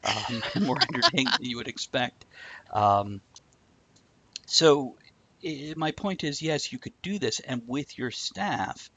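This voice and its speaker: A man's voice: medium pitch, lively intonation, takes it slowly, vocal fry, a bit bright. A man hosting a live-streamed talk show, chatting casually, explaining ideas eagerly and greeting viewers. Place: home streaming setup.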